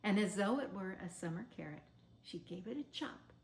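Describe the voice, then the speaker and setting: A woman reading a children's story aloud in a theatrical, measured, expressive way. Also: medium pitch. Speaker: storybook reader. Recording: roomy, echoing sound.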